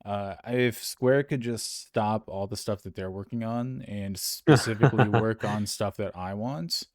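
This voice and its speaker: Low-voiced casual podcast host. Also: male voice, unhurried, crowd noise. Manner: rambling, self-correcting, chuckles often. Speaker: podcast co-host reading listener emails. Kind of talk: casual gaming podcast chat.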